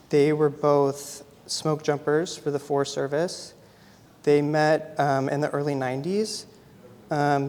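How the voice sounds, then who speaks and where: A soft-spoken young man from Idaho gives an informal artist talk about his photography, pausing often with "um.". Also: fairly high pitch. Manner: soft-spoken, many ums. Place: gallery. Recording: long hall reverb.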